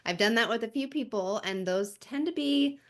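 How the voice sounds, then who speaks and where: A woman's voice: on the high side, normal speed. A woman hosting a podcast, asking questions in a friendly, affirming way during a casual interview. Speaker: podcast host.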